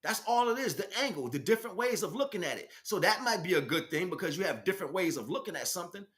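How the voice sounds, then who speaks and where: A man's voice: sits high, very animated, quick pace, a bit bright. A man giving an impassioned, informal spiritual lecture in a blunt, emphatic street vernacular, often asking rhetorical questions. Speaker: spiritual teacher, speaker.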